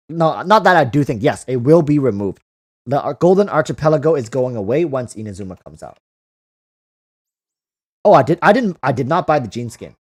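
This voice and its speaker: A man hosting a casual livestream, reading out and answering viewer comments, often repeating phrases as he thinks aloud. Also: mid-range voice. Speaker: livestream host.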